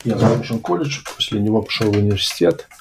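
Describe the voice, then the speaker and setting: A man hosting a podcast, talking casually and reflectively, often pausing and correcting himself mid-sentence. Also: lowish voice, lively intonation, noisy background. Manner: hesitant, self-correcting, reflective. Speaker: podcast host. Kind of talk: casual conversational interview. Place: abroad, in an English-speaking country.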